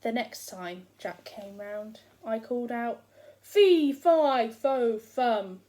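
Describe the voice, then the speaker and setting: Woman reading as a slow-talking, grumbling storybook giant. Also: fairly high pitch, warm tone. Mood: aggrieved, angry. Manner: complaining, sarcastic, emphatic. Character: grumbling, self-pitying, hungry giant husband, huge and aggrieved. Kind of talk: first-person storybook narration read aloud.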